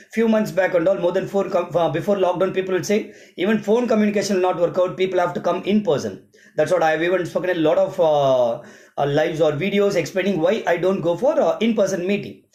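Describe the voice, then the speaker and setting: A man's voice: high voice, a little mellow. A man with an Indian accent who works as an event emcee, explaining things informally step by step like a vlogger. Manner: repetitive, step-by-step explaining with examples. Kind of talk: informal explanatory vlog monologue. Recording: roomy, echoing sound.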